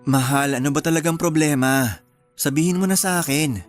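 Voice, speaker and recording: A man acting an apologetic young husband in a radio drama, trying to calm his wife. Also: a little high, brisk, faint music behind, natural voice. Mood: placating. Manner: placating, apologetic. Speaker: husband in a radio love story.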